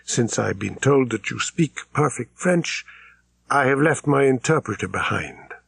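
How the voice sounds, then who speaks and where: Man acting careful, gravelly diplomatic envoy. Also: medium pitch, expressive, measured pace, fairly bright tone, dry recording, exaggerated voice. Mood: polite, formal. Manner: formal, deferential. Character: polite, diplomatic male envoy, careful and formal.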